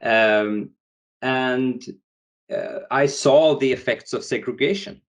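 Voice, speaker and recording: A man's voice: mid-range voice, slow pace. A young man from Sweden, a podcast guest, speaking in English with many restarts and fillers. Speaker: guest, founder. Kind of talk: podcast interview.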